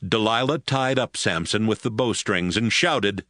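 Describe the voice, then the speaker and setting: A man narrating scripture in a steady, even storytelling manner. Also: lowish voice, measured pace, no room sound, studio quality.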